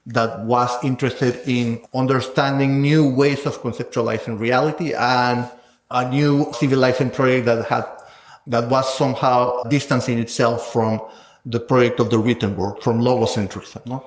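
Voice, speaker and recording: A man with a mid-pitched voice talks in a reverberant room. He explains scholarly ideas in a thoughtful, conversational interview style, often checking in with short tag questions. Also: steady tone, normal speed. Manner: thoughtful, explanatory, tags phrases with "no?" and "right?". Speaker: sound scholar, interviewed guest. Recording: echoey room.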